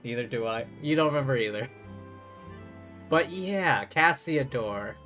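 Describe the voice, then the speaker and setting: A man's voice: medium pitch, animated, measured pace, pushed and bright. Guy streaming a game, casual chatty commentary, medium voice, faint music behind. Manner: chatty, mimics lines mockingly, thinks aloud.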